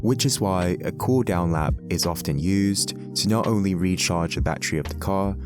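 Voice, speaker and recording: A man giving a scripted explainer narration as the host of a video. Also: deep voice.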